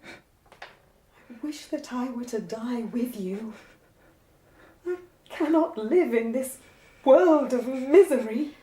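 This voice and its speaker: An actress playing a melancholy, gentle young gentlewoman in an audiobook drama, earnest, formal and sorrowful. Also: on the high side, lively intonation, slow pace, long pauses, a little hiss or hum. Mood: tearful grief.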